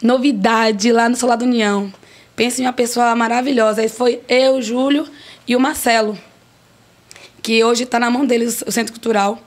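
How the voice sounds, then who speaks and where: A woman, a restaurant owner telling her life story in a relaxed podcast interview, lively and full of jokes. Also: fairly high pitch, moderate pace. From Brazil. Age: 40s. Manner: lively, joking, emphatic storyteller.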